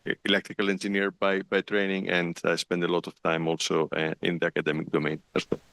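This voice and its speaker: A man introducing himself on a panel, speaking haltingly and repeating words. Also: sits low, little pitch movement, gravelly, a bit bright, no room sound. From Greece.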